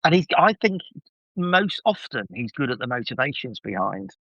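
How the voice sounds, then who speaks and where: Animated, effusive man on a dull mic. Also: mid-range voice, normal speed, dry recording. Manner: effusive, enthusiastic. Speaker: podcast guest. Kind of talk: casual enthusiastic review chat. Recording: slightly dull, lo-fi microphone sound.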